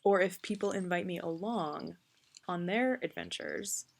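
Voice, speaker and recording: Reflective woman in her thirties, gravelly voice. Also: fairly low pitch, unhurried. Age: 30s. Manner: earnest and reflective, frequent ums. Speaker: vlogger. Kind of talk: casual talk to camera.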